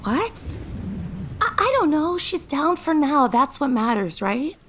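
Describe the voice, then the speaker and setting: A woman's voice: high-pitched, big pitch swings, slow pace, slight background noise, full character voice. A woman playing an anxious, exasperated teenage girl in a fantasy audio drama, blunt and flustered. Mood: anxious, urgent.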